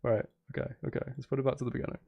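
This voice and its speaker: A man's voice: medium pitch, flat delivery, rapid-fire, gravelly, muffled recording. A man chatting casually to viewers on a livestream, rambling, repeating himself and breaking off mid-sentence in a jokey, self-deprecating way. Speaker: live streamer.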